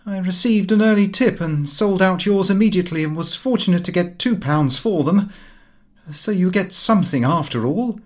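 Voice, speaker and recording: A man voicing a brash, excitable young son, flippant and slangy, in an audiobook. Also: sits high, normal speed, pitched above the performer's own voice, natural voice. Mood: breezy. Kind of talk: audiobook character voice.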